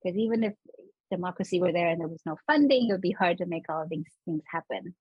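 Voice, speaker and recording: Woman guest, husky, dry close sound, animated conversational explaining. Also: fairly low pitch, fast, no room sound, muffled recording. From Los Angeles. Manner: animated, laughs while explaining. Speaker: urban planning scholar guest.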